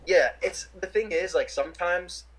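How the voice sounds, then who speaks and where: Young new guy on a video call, kinda husky, lots of "like" and restarts. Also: high-pitched, moderate pace, poor audio. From New York City. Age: young adult. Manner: rambling, lots of fillers and restarts. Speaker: interviewee, rapper. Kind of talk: casual chat.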